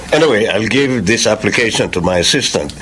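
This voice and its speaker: An actor playing a radio station boss who hires a new DJ, speaking in a businesslike way and mixing English with Tagalog. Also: male voice, on the low side, lively intonation, moderate pace, lightly acted. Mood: brisk. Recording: noisy audio drama recording.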